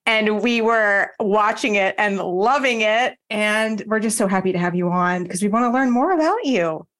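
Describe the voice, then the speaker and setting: A woman's voice: medium pitch, moderate pace, a bit bright. A woman co-hosting a podcast interview, enthusiastic and welcoming toward the guest. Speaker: podcast co-host.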